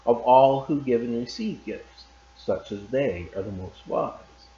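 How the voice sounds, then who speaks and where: A man teacher reading a short story aloud in a calm, measured way. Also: mid-range voice, a little hiss or hum. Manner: calm and measured, loose and chatty after reading. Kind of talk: read-aloud story narration. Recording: roomy, slightly dull recording.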